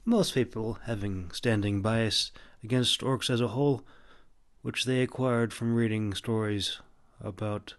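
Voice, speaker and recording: Slow, low-pitched male audiobook narrator. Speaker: author reading own audiobook. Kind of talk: scripted fantasy narration.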